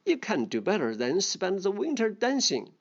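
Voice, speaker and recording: Fable role: industrious, disapproving ants, voiced by a narrator, higher pitched, slightly muffled recording. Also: huge pitch swings, normal speed, gravelly. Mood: scornful. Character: stern, industrious ants scolding an idler. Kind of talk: storybook dialogue read for children. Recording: dull, muffled lo-fi sound.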